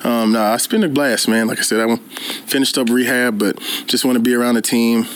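A man answers interview questions in a relaxed, earnest way. He often pauses on fillers. His voice sits at a middle pitch. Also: slight background noise. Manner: easygoing, filler-heavy and earnest. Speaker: pro football player interviewed.

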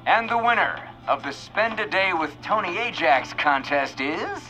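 A retro radio host, theatrical and salesmanlike. His pitch swings widely as he announces with showy relish over music. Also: very animated, measured pace, lo-fi sound. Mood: showy, suspenseful. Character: showy, old-time male radio show announcer. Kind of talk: 1950s-style radio announcing.

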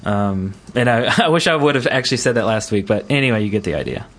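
A man's voice: on the low side, lively intonation, fast, a bit bright. A man hosting a gaming podcast in casual, rambling, jokey chat. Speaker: podcast host.

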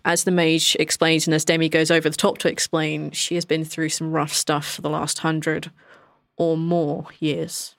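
A woman's voice: deep voice, monotone, normal speed, vocal fry, dry recording, studio quality. A woman acting as game master, narrating a tabletop game in an informal, conversational way.

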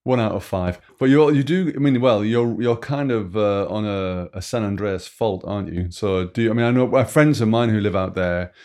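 A man's voice: lowish voice, moderate pace, hardly any pauses, darkish tone. A man co-hosting a podcast, joking, teasing and laughing often. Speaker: podcast co-host. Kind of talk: casual podcast banter.

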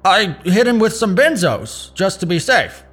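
Jittery underling for audio drama: high voice, slow halting delivery, eager to obey, a bit dim. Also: male voice, big pitch swings, fairly bright tone, slightly rough, full character voice. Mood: unsure, deferential. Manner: hesitant, stammering, deferential. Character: nervous, dim, eager male henchman.

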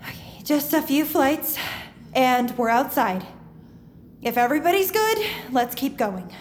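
A woman playing an earnest, urgent girl with an excitable, sometimes sarcastic manner in an audio drama. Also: high voice, a little slow, slight background noise. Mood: tense. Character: earnest, urgent girl fan leading an escape.

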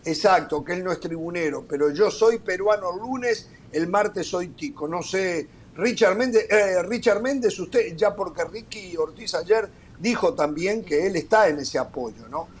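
A man hosting a sports talk show, teasing and joking with his panel in rapid back-and-forth. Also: sits high, slight background noise. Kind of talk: lively panel banter.

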